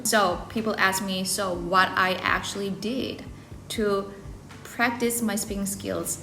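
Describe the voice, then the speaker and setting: A woman's voice: mid-range voice, a bit bright, a little hiss or hum. A woman speaking English, giving earnest personal advice to camera and often rephrasing herself mid-sentence. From South Korea. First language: Korean. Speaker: English-learning video creator. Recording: roomy echo, faint background music.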